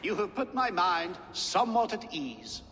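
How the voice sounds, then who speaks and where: Indignant lord: high, very animated, formal and sharp-tongued, game cutscene. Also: male voice, a little slow, heavily acted. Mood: pointed. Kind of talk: video game acting. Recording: reverberant hall, faint background noise.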